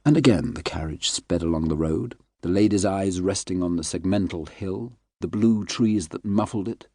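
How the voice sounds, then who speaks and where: Male narrator, low register, very dry close sound, measured literary delivery. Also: warm tone, no room sound. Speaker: audiobook narrator.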